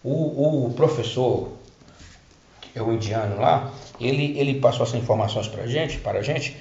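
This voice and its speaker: Middle-aged male speaker, mid pitch, halting delivery with frequent restarts; echoey room, dull lo-fi recording. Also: gravelly, darkish tone. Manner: hesitant, repeats and restarts words. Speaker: spiritual healing speaker. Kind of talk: personal testimony talk.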